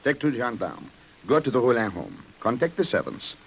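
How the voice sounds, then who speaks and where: An actor playing a grave, commanding French police commissioner in a 1950s radio crime drama. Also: male voice, mid-range voice, very animated, normal speed, slightly rough, poor audio. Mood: urgent, commanding. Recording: old-time radio broadcast.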